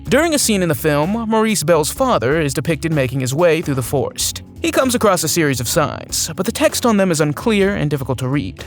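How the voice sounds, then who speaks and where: A man narrates a scripted video in a fairly high voice with lively intonation. His delivery is clearly enunciated, like someone telling a story, and music plays behind him. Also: fairly quick, hardly any pauses. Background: background music.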